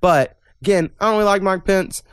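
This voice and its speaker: A man's voice: high voice, brisk, clear, resonant tone, no room sound, studio quality. A young man hosting a casual podcast, talking bluntly and opinionatedly and swearing freely. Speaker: podcast host. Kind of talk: casual political chat.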